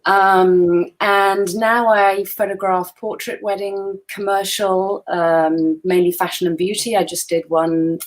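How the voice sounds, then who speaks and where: A woman's voice: medium pitch, level tone, slow, hardly any pauses. A woman in her forties, a guest photographer chatting casually on a video call in a friendly, self-deprecating way, sounding a little tired. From Wales. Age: 40s. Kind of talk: casual webinar chat.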